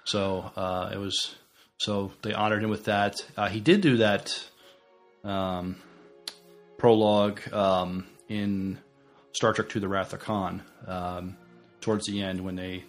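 Hesitant, low-voiced man co-hosting a podcast. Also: little pitch movement, slow, faint music behind. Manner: hesitant, repeats words as he forms opinions. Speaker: podcast co-host. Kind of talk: casual chat.

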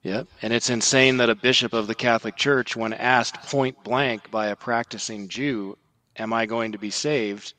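A man on a religious podcast, earnestly explaining and quoting scripture in a measured way. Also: mid-range voice, fairly even intonation, bright, forceful tone, low-quality recording. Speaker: podcast guest, article writer.

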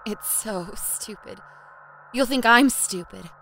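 A woman playing a frightened, embarrassed young woman in an audio horror drama, hushed and hesitant. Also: fairly high pitch, slow, a little hiss or hum, rough recording.

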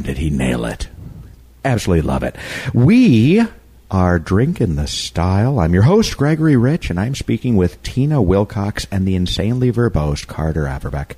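A man hosting a talk show with jokey, animated banter and conversational interviewing. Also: low-pitched, slightly rough. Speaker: radio talk show host.

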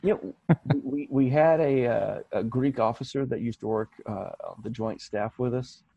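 An American man co-hosting a podcast in casual chat, halting with many restarts and fillers. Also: mid-range voice, gravelly, mellow, breathy edge, dry recording, lo-fi sound. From United States. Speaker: podcast co-host.

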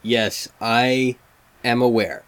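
A man's voice: medium pitch, steady tone, slow pace, a bit bright, clear, resonant tone. A man hosting a fan site interview, polite and earnest, hesitating with fillers. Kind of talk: casual interview.

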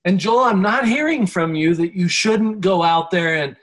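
A male interview host with a fairly high voice. He affirms his guest warmly and restates the guest's points in measured, encouraging phrases. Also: lively intonation. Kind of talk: conversational interview.

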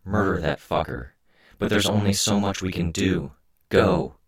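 A man's voice: deep voice, measured pace, no room sound, studio quality, pitched above the performer's own voice. A man voicing an angry, commanding heroine who speaks through a swarm of bugs, in clipped, insistent phrases for an audiobook.

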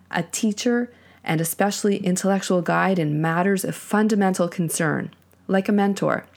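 A woman hosting a podcast solo, speaking earnestly and deliberately in a reflective monologue, her voice lowered by a cold. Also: gravelly, darkish tone. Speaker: podcast host.

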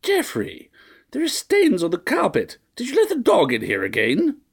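A man voicing a shrill, fussy, wealthy old woman who nags imperiously in a grating voice. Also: wildly swooping pitch, moderate pace, vocal fry, husky, exaggerated voice. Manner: imperious, nagging.

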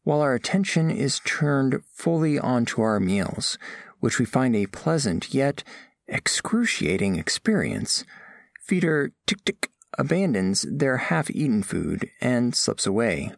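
A man's voice: medium pitch, big pitch swings, unhurried, gravelly, dry recording, clean studio sound. A man voicing an earnest alien scholar diplomat, narrating an audio drama in formal, stilted, precise phrasing. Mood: formal, measured.

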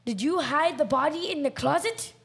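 High, creaky, husky young detective played by a girl. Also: normal speed, fairly bright tone. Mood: accusing. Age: child or teenager. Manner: blunt, commanding questioning. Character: bossy, suspicious police detective questioning suspects. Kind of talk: amateur youth radio play.

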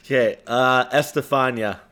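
A man's voice: medium pitch, steady tone, normal speed, a bit bright, smooth. Hesitant man in casual crude chat. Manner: distracted, hesitant, restarts often.

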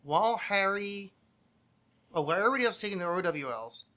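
A man's voice: high voice, lively intonation, fast talker, bright, forceful tone. A man chatting casually as a podcast host, hesitant and thinking aloud, often self-critical and swearing freely. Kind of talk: casual chat, answering trivia questions.

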